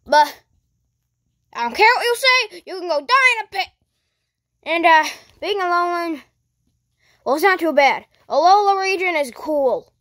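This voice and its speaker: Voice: very high-pitched, lively intonation, moderate pace, pauses often, bright, forceful tone, no room sound, clean studio sound, heavily acted. A child voicing a big, touchy rainbow sludge monster in a cartoon skit. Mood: defiant.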